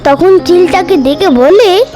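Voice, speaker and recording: A woman's voice: extremely high pitch, takes it slowly, warm tone, faint music behind. A woman narrating a scripted children's cartoon story.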